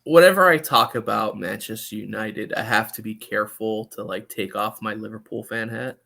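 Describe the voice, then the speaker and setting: Gravelly, bright-voiced man talking soccer. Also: lowish voice. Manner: halting, hedging, often repeating words. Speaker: podcast guest, soccer pundit. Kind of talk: casual sports discussion.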